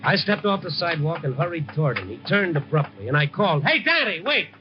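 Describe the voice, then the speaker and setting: Hard-boiled American reporter, muffled vintage sound. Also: male voice, a little high, sing-song, fast. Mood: tense narration. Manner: wry, punchy, dramatic. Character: brisk, wry, streetwise male newspaper reporter. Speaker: lead actor and narrator. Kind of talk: 1950s radio drama, hard-boiled narration. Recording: muffled vintage 1950 radio recording.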